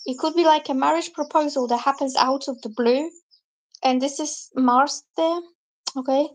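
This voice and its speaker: A high-voiced woman reads tarot cards on a live stream. She talks in a chatty, loose way and drifts from point to point as she explains the cards. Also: fairly even intonation, moderate pace, rough recording. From Bulgaria. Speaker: tarot reader.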